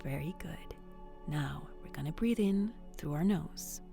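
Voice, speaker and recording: A woman hosting a bedtime story, guiding relaxation in a soft, soothing, often whispered voice. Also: deep voice, expressive, measured pace, creaky, faint music behind. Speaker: storyteller and host.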